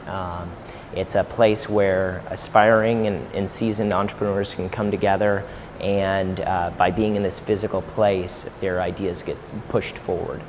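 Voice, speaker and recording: Brief: man in his thirties from St. Louis, lowish voice, halting and filler-heavy, faint hiss in the room. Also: little pitch movement, darkish tone, rough recording. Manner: hesitant, full of fillers and restarts. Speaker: startup incubator co-founder. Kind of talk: interview answers to camera. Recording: faint background noise.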